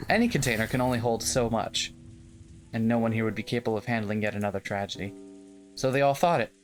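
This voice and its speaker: A streamer reading a visual novel's text aloud in a steady voice, breaking off for wry jokes. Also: mid-range voice, fast talker, faint music behind. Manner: wry, jokey asides between steady reading.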